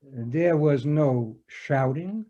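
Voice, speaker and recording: A man reading the part of a patient police detective in a comic scene, speaking haltingly with many hesitations. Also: a little high, warm tone, lo-fi sound. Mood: probing. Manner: halting, full of "uh" fillers. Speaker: reader in an amateur readers theater performance. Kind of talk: comic readers theater scene read over a video call. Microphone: home video call mic.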